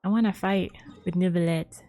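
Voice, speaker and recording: A woman's voice: on the low side, steady tone, normal speed, mellow. A woman streamer giving casual, playful and rambling live commentary while playing a game. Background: faint game music.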